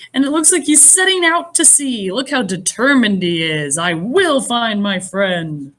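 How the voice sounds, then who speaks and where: A woman reading a picture book aloud as a teacher would to young children, expressive and pausing to explain things in simple words. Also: a little high. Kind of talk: animated children's read-aloud with asides.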